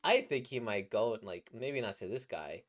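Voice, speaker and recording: A man with a slightly squeaky, trebly voice, a voice actor casually reacting to comics in a rambling, playful way. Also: expressive, moderate pace. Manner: rambling, playful, laughs at his own jokes.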